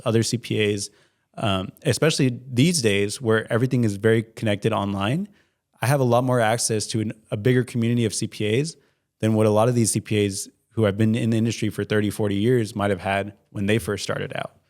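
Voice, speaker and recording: A young man in his twenties answers interview questions as an accountant. His voice sits at an ordinary middle pitch, and he explains things in an earnest, measured way. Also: a little mellow. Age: 20s. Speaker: certified public accountant, guest.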